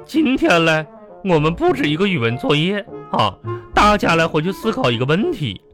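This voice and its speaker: Exasperated schoolteacher, drawn-out pedantic scolding, animated intonation, radio comedy, light music behind. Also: male voice, on the high side. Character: exasperated, fussy primary-school teacher with garbled pronunciation. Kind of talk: comedy radio drama acting.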